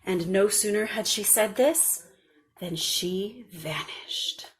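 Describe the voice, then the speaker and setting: A woman storyteller reading a scripted children's tale in a measured, expressive way. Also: medium pitch.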